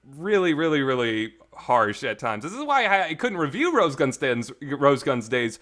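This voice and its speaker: A man with a mid-pitched voice talks in a casual, rambling way, like a devoted fan hosting his own review show. He is informal and emphatic, leaning into his enthusiasm. The recording carries some reverb. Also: very animated, moderate pace, a bit bright. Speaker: host of a visual novel talk show.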